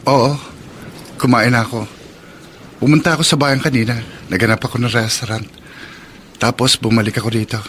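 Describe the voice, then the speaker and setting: A man's voice: mid-range voice, fairly even intonation, brisk, pauses often, fairly bright tone, a little hiss or hum, natural voice. A man playing an earnest, lonely young man carrying grief in a Filipino radio drama, with a Filipino accent. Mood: friendly, reserved.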